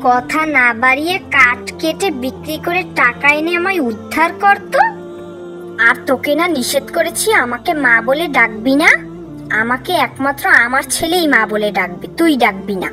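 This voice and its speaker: A contemptuous stepmother in a cartoon. Her voice is high, nagging and harshly sarcastic, with clear music playing behind it. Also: expressive, measured pace, pushed and bright, full character voice. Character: cruel scolding stepmother, bossy and contemptuous.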